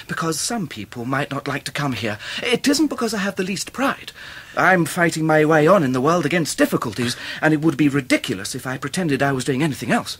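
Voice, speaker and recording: A modest, good-natured young Englishman studying law in a radio drama. His husky voice moves very animatedly, earnest and guileless with little chuckles. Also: fairly high pitch, a bit bright, heavily acted. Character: cheerful, modest, eager young law student.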